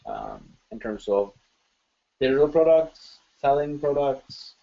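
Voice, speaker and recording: A man's voice: medium pitch, wildly swooping pitch, slow, vocal fry. Chatty man hosting a lo-fi webinar. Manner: chatty, filler-heavy, enthusiastic. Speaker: webinar host. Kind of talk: live webinar Q&A. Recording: dull lo-fi webinar audio.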